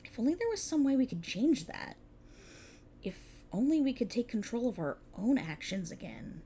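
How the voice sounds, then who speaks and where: A woman's voice: a little high, big pitch swings, moderate pace, mellow, a little hiss or hum. A woman playing a prickly, exasperated police officer in a scripted ASMR roleplay, talking in a wry, bossy, explanatory way to an unheard partner. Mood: resigned, wry. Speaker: voice actor in audio roleplay. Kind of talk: scripted ASMR roleplay, one-sided dialogue.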